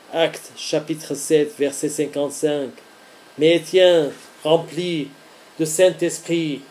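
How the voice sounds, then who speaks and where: A man preaching in an earnest, deliberate way, delivering devotional words phrase by phrase. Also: fairly high pitch, dark tone. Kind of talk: devotional teaching with scripture reading.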